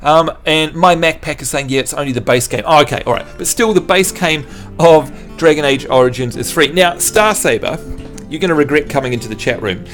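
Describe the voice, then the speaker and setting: A man's voice: fairly high pitch, fairly quick, a bit bright, soft background music. A man from New Zealand chatting casually with his live-stream viewers, playful and teasing as he quizzes them. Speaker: game streamer.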